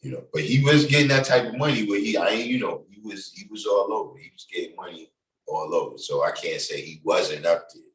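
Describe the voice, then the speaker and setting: Deep-voiced New York man, roomy and distant. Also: a little fast, fairly bright tone. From New York City, Bronx. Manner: loose, conversational, many fillers. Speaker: livestream host. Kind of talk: casual livestream talk. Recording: echoey room, distant from mic.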